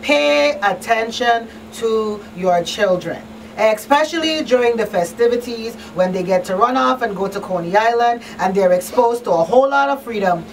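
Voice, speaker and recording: A woman's voice: on the high side, expressive, moderate pace. A woman hosting a talk show in a conversational, emphatic and plain-spoken way, repeating key phrases. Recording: distant mic, faint background noise.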